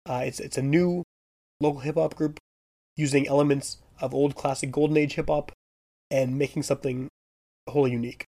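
Hoarse, gravelly podcast host. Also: male voice, fairly high pitch, normal speed. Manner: relaxed, rambling with frequent fillers. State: losing his voice. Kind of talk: casual podcast chat. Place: basement.

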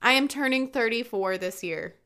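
A woman's voice: fairly high pitch, normal speed. A woman in her thirties co-hosting a podcast, chatting casually and laughing at herself. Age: 30s. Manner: lively, self-mocking, laughs a lot. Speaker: podcast co-host. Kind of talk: casual banter.

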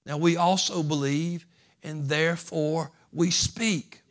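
A man preaching a sermon as pastor, folksy and repetitive, building from soft talk to loud fervent preaching. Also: a little high, fairly even intonation, takes it slowly. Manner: folksy, repeats phrases.

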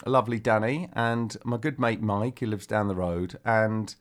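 A man in his forties, a podcast co-host, telling an animated story in casual chat and acting out what other people said. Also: on the low side, normal speed.

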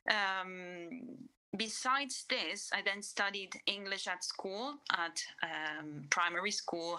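Bright-voiced Italian woman lawyer. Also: fairly low pitch, rough recording. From Italy. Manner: hesitant, many fillers. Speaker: lawyer guest. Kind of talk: interview answers.

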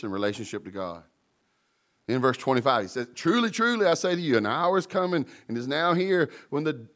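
A man preaching a sermon with emphasis, calling out to the congregation and joking about his own mumbling. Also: fairly high pitch. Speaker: pastor.

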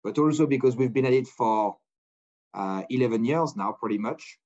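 A man's voice: medium pitch, moderate pace, a little mellow, dull audio. Rambling man hosting a business podcast. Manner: rambling, many restarts, non-native phrasing. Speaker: podcast host. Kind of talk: casual business interview.

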